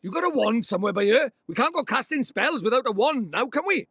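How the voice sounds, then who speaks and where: An actor voicing a small, cheeky, bossy fairy sidekick with a Welsh accent in a fantasy game. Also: male voice, very high-pitched, big pitch swings, fast, a bit bright, breathy edge, dry recording, studio quality, heavily acted. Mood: eager, brisk. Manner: brash, teasing, colloquial, theatrical. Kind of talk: animated fantasy game voice acting.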